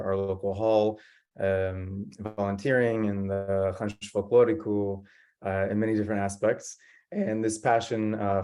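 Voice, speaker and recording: A man who grew up in San Diego presents to a conference over a video call. His voice sits low and stays flat, with little rise or fall. He talks in an earnest, steady way, filling pauses with ums and uhs. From San Diego, California. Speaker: guest presenter.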